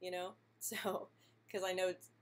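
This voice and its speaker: A young woman who stutters talks in a casual live-stream chat, her voice sitting a little low. She speaks softly, often repeating the first sounds of words, and laughs lightly between answers. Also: level tone, measured pace. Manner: stutters with frequent sound and word repetitions. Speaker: vlogger answering viewer questions live.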